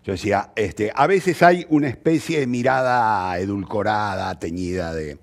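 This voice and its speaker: A man's voice: on the low side, moderate pace. An older man with a Rioplatense Argentine accent, explaining things conversationally in an interview, emphatic and didactic, posing rhetorical questions. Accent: Argentine Rioplatense Spanish. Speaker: playwright interviewee.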